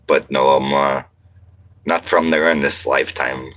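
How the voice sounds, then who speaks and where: A man's voice: a bass voice, fairly even intonation, unhurried, fairly bright tone. A man from Montana hosting a casual livestream from his RV, reading comments in a laid-back, slangy, rambling way. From Montana, United States. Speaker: livestream host.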